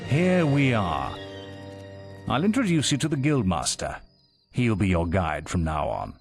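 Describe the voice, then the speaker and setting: A grave, commanding guild leader, low-voiced. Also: male voice, huge pitch swings, gravelly, full character voice. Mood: grave, assured. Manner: measured, terse, authoritative. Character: grave, commanding male head of a heroes' guild, rescuing a boy. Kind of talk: video game voice acting. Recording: music behind the voice.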